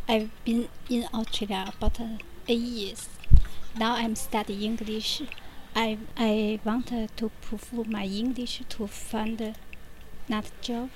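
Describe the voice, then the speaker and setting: A woman's voice: a little high, level tone, a little slow, slight background noise, low-quality recording. A woman from China, introducing herself hesitantly in English class. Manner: hesitant, filler-heavy. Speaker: English student.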